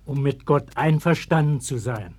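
A man playing a stern, compassionate prophet in a radio play, speaking in a solemn, measured, declamatory way. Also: medium pitch, a little mellow, breathy edge. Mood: stern, resolute. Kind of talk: German radio play acting. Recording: early 1960s vinyl radio-play record.

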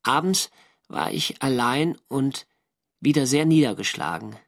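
An actor playing a German navy skipper who narrates his First World War diary in a measured, dry, literary way for a radio drama. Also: mid-range voice, lively intonation, creaky. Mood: downcast.

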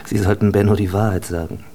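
Radio drama part: an awkward, reserved male, low voice, terse and blunt, faint ambience behind. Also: dark tone, a little hiss or hum. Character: reserved, awkward, blunt man talking with a woman. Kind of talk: German radio drama acting.

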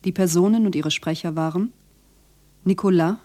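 A woman's voice: fairly low pitch, normal speed, mellow. A woman announcer reading formal scripted credits for a radio play.

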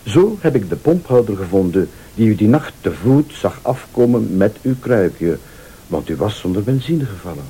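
Sardonic Flemish accuser, low dark voice, slightly husky, deliberate, vengeful, radio thriller. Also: male voice, sing-song, exaggerated voice. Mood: smug, relentless. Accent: Flemish Belgian. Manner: deliberate, insinuating, formally polite. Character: vengeful older rival, a calm, probing, sardonic accuser.

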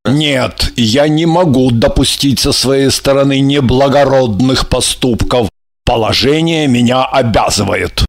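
An actor playing a young, earnest and exasperated lover in an audiobook drama, speaking emphatically. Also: male voice, medium pitch, creaky. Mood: earnest, placating. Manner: emphatic, drawn-out, pleading then threatening. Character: young earnest, honourable, exasperated male lover, soon a father.

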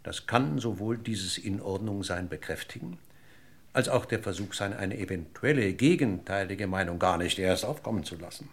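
An actor playing a middle-aged police inspector in a German radio crime drama, husky and gravelly, formally polite, deliberate and persistent in his questioning. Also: low-pitched, lively intonation, heavily acted. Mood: politely insistent. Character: probing, courteous male police inspector, dryly persistent.

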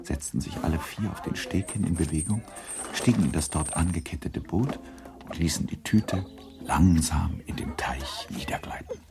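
A man acting as the composed narrator of a literary radio play, reading in a measured way with a low, husky voice. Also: lively intonation, over music, exaggerated voice. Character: composed male narrator of a nineteenth-century novel.

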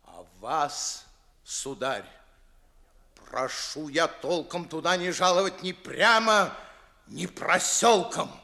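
A man's voice: fairly high pitch, slow, creaky, pushed and bright, heavily acted. An actor playing a pompous, indignant older father in a 1950s audio play, scolding theatrically in comic verse. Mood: indignant threats.

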